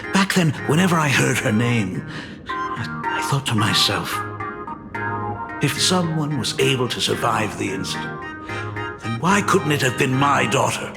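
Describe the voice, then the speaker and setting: A man's voice: mid-range voice, creaky. A middle-aged actor playing a polite, hesitant male school dean and father in a video game. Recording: reverberant game audio with music.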